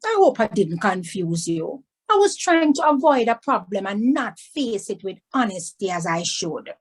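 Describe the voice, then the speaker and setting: A woman's voice: mid-range voice, very animated, normal speed, breathy edge. A woman voicing a sheepish adult uncle, evasive and pleading, in a children's storybook. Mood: contrite. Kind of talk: storybook read-aloud.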